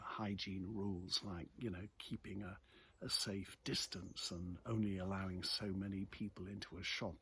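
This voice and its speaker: A British man with a lowish voice talks informally, like a vlogger sharing the morning news. His delivery is reflective and halting, with pauses between thoughts. There is some ambient noise behind him. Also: sharp tone. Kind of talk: casual chat, off-the-cuff commentary on the news. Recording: clearly noisy ambient background.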